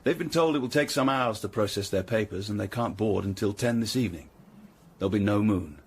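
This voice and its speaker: An actor playing a tough, proud Tudor hired ruffian in a radio drama, with a rough, husky voice and blunt, clipped delivery. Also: male voice, lowish voice, fairly quick, a little hiss or hum, lightly acted. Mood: businesslike. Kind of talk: period radio drama acting.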